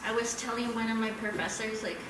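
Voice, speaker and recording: A woman from the audience tells relaxed stories about her family, her voice a little high. She sounds far from the mic, with the theater's echo around her and a clear hum of room noise. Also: fast. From United States. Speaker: audience volunteer. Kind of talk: casual chat on stage. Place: small theater, live audience. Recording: echoey theater, distant mic.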